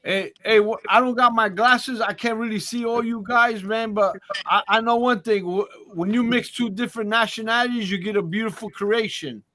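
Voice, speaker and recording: A man who calls himself a boxing historian, talking excitedly in a group chat, stammering and swearing freely. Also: high voice, normal speed, few pauses.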